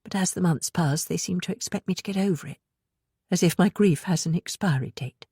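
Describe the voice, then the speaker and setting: A woman voicing a grieving widowed mother in an audiobook, husky, hushed and halting as she confides. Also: lowish voice, no echo, studio quality. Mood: sorrowful, confiding. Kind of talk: audiobook character dialogue.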